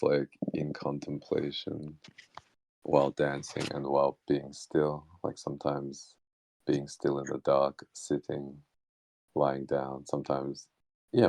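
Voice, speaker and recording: Very deep gravelly man, halting speech. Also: darkish tone, no room sound. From Australia. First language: Hakka Chinese. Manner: halting, many fillers and restarts. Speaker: interview guest. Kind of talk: casual reflective conversation. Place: online voice chat.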